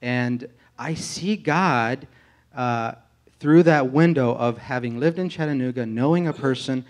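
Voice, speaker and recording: A man with an American accent and a mid-pitched voice gives an informal testimony to a church as a candidate for pastor. He speaks earnestly, feeling his way through phrases in a halting manner. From United States, grew up in Tennessee.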